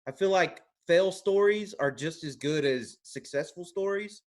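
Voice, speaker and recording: A man's voice: high-pitched, a little slow. A man who works as an audio technician, speaking conversationally on a training panel and drawing on his own experience. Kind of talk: conversational panel talk with anecdotes.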